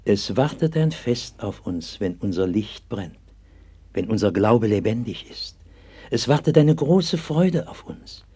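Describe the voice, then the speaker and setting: Deep, husky, solemn pastor. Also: male voice, animated, unhurried, mellow, exaggerated voice. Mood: fervent, exalted. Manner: solemn, patient, earnest preaching cadence. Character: gentle, devout 16th-century pastor comforting a sick child. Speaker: actor in a radio play. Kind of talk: 1960s German radio play acting.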